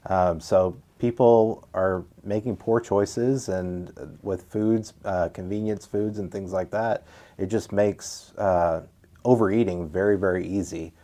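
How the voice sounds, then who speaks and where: A man, a surgeon who grew up speaking Czech, answering interview questions calmly and plainly. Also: sits low, a little slow. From West Texas. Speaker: surgeon, invited guest.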